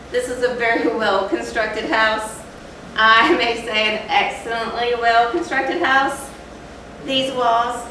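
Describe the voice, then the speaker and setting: A woman on stage plays a confessing murderer, gloating and frenzied beneath a formal calm. The delivery is theatrical Gothic horror in a higher register. It is caught from a distance in a hugely reverberant space, with clear noise. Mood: smug bravado. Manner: theatrical, emphatic, old-fashioned formal diction. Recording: echoey hall, distant mic, noisy.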